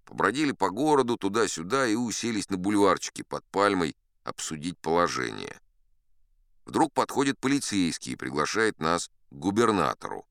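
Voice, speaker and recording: A man's voice: deep voice, big pitch swings, moderate pace, fairly bright tone, no echo, clean studio sound, exaggerated voice. A man playing an older sea captain who spins a yarn in a dramatized audiobook, wry, boastful and conversational. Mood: wry, unhurried. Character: old sea captain storyteller, folksy, boastful, dignified.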